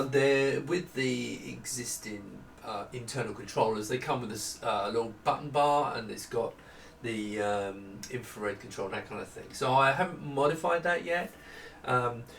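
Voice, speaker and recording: A man in his fifties giving a casual, unscripted product walkthrough, explaining haltingly as he thinks aloud. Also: mid-range voice, gravelly, a bit bright. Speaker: maker presenting a product prototype. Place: small home office room. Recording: roomy, distant mic, faint noise.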